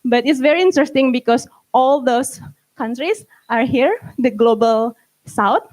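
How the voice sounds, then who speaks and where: A young woman with an Indonesian accent presents slides as a guest speaker. Her voice sits a little high. She speaks informally and haltingly, often stopping to rephrase herself. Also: animated, a little mellow. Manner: halting, frequent self-corrections. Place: live summit event.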